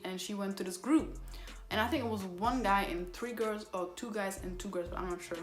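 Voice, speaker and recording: A woman's voice: mid-range voice, fairly quick. Exasperated young woman telling a story. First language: Somali. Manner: rambling, exasperated retelling with self-corrections. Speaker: vlogger. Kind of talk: casual storytime. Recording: roomy echo with faint background music.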